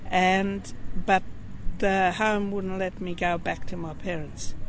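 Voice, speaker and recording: A woman's voice: medium pitch, steady tone, takes it slowly, low-quality recording. A woman in her sixties from Central Australia, speaking directly and emphatically in an interview outdoors by a street. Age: 60s. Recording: street noise behind.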